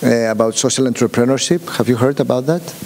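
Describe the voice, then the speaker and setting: A man's voice: mid-range voice, a little mellow. Hesitant man speaking in a reverberant hall. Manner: halting, many restarts and fillers, asks the room questions. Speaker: workshop speaker. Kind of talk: informal workshop talk. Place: conference room. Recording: long hall reverb, faint hiss.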